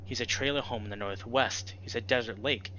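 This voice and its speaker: A man's voice: mid-range voice, fairly quick, pushed and bright. A young man hosting a let's play and reading a visual novel aloud as dramatic narration. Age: young adult. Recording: dull lo-fi audio with background noise.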